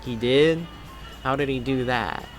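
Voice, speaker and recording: A man's voice: medium pitch, unhurried, soft background music, lightly acted. A man voicing a flustered young man hero who covers his confusion and plays along nervously. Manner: nervous, hesitant.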